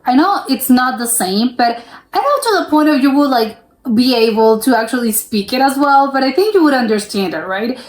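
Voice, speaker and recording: A woman's voice: sits high, expressive, normal speed. A young woman from the United States hosting a reaction video, rambling casually with lots of "like" fillers and restarts. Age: young adult. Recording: roomy echo.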